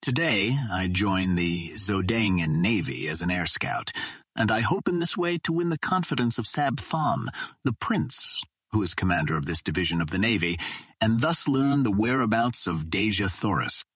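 A man's voice: fairly low pitch, very animated, normal speed, fairly bright tone, slightly rough, dry recording, exaggerated voice. A man voicing a loyal, earnest Martian warrior in an audiobook. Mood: earnest, resolved. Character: loyal Martian warrior, male, brisk and earnest, working undercover. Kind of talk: audiobook character dialogue.

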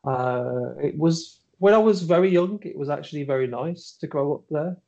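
A man's voice: on the high side, normal speed, warm tone, muffled recording. A middle-aged man from Birmingham with a Birmingham accent, a podcast guest who talks hesitantly with many fillers and restarts and laughs at himself. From Birmingham, England. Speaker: interview guest. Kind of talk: podcast interview.

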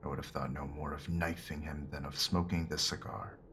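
Man acting a low-voiced, rueful old-time convict. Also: fairly even intonation, normal speed, a bit bright. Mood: frank, subdued. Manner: deferential, working-class phrasing, earnest. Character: weathered middle-aged male convict, rueful and plain-spoken. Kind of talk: audio drama acting of Victorian fiction. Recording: noisy ambient background.

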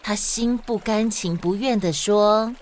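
A woman's voice: mid-range voice, slow, darkish tone, faint music behind. A woman with a Taiwanese accent hosting and narrating stories expressively for children. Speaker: host and storyteller of a children's podcast.

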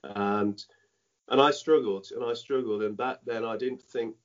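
A middle-aged man who grew up in Dominica, speaking patois as a first language, tells stories from his past in a halting, reflective way, full of "you know" and restarts. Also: medium pitch. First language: Dominican French patois. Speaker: former cricketer, guest. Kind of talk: reflective interview storytelling. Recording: dull lo-fi sound.

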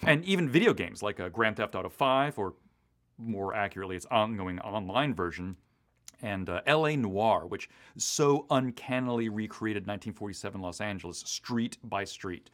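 Male writer in interview, East Coast US origin. Very animated intonation, casual, enthusiastic, prone to detailed tangents. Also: on the low side, moderate pace. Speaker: audio drama writer being interviewed. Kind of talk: casual, detailed behind-the-scenes chat.

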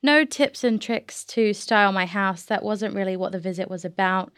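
Smooth-voiced New woman journalist. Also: medium pitch, moderate pace, fairly bright tone, dry recording, studio quality. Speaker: journalist, interview guest. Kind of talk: conversational interview and reading aloud of an article.

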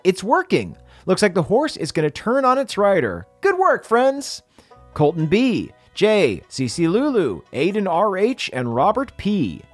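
A man reading out comedic podcast shout-outs and scripted joking asides in a theatrical, mock-earnest way. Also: high voice, no room sound. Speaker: podcast host reading supporter thanks. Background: music under the voice.

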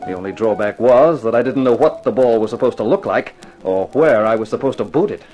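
An actor playing a wisecracking, slangy private detective in a 1950s radio crime drama. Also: male voice, medium pitch, expressive, fairly quick, a little mellow, lo-fi sound, faint music behind, lightly acted. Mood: wry narrating. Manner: slangy, wry, tough-guy talk. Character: wisecracking hard-boiled private detective, narrating his own case.

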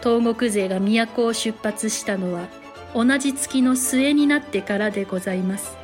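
An actress playing a dignified samurai mother, narrating a historical audio drama in courteous, formal, measured language. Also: a little high, soft background music, close to an everyday voice. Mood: composed, solemn.

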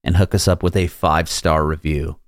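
A man's voice: extremely low pitch, flat delivery, a little fast, no room sound, studio quality. A young man hosting a podcast. He reads a scripted intro and then chats casually with a guest, using laid-back slang. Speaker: podcast host. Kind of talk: read intro, then casual interview chat.

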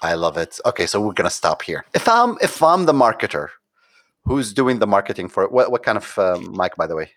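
A man with a fairly low voice talks through ideas in a loose, animated way. He fills his sentences with "like, you know" and slips into little acted-out dialogues. The sound is dry and close, with no room around it. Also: brisk, dry recording. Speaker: guest, conversion optimization consultant. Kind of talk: podcast interview.

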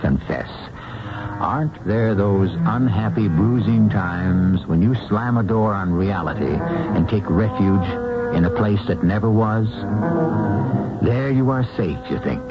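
A man hosting a radio drama with theatrical, deliberate scripted narration and a rhetorical, dramatic manner. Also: fairly low pitch. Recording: muffled old radio, loud music behind.

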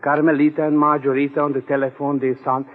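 An actor playing a roguish, grumbling sidekick with quaintly formal speech as comic relief in a 1940s radio drama. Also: male voice, fairly high pitch, level tone, fast, natural voice. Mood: droll, grumbling. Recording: muffled, narrow-band old radio recording, reverberant.

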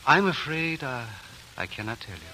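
An actor playing a polite, formal, deferential servant of a monastery in a 1940s radio drama. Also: male voice, on the high side, sing-song, moderate pace, pushed and bright, a little hiss or hum, heavily acted, rough recording. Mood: courteous. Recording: old radio broadcast with music.

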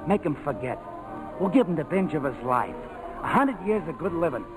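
An actor playing a rough, loyal American ex-airman in a 1940s radio drama, talking in slangy, streetwise fashion. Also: fairly high pitch, sing-song, brisk, music in the background. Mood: hearty, scheming. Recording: vintage 1940s radio broadcast.

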